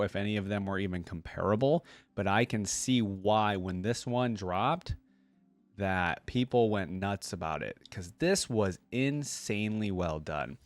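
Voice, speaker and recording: A man's voice: on the low side, dry recording. A man giving casual, enthusiastic reaction commentary as a video host, speaking informally with slangy asides. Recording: music playing behind the voice. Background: game music.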